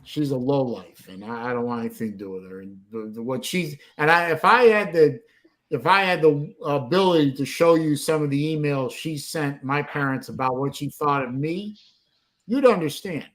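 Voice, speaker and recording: A man's voice: a little high, moderate pace, fairly bright tone. A middle-aged man from Florida hosting a casual livestream chat, blunt and rambling, swearing freely. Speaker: livestream host.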